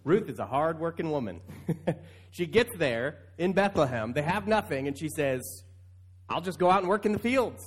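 A man's voice: on the high side, lively intonation, moderate pace, breathy edge. A male pastor preaching in a conversational style, stretching key phrases for emphasis. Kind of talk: conversational sermon.

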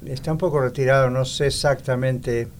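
A man's voice: mid-range voice, fairly quick, slight background noise. A man in his sixties from Argentina with an Argentine accent, a pastor speaking as an interview guest with easy good humor. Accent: Argentine Spanish. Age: 60s. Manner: good-humored, relaxed storyteller. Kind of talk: casual interview talk.

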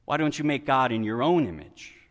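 A man preaching a sermon as a pastor, telling stories in an animated, conversational manner. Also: medium pitch, brisk. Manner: animated, conversational, acts out dialogue in quotes. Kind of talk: storytelling sermon. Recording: reverberant hall, church-like echo.

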